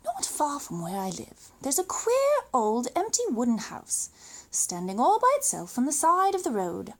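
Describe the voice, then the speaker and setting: Woman, British accent, high-pitched, highly animated intonation, expressive children's storytelling, theatrical emphasis. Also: moderate pace. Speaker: storyteller reading a children's book aloud.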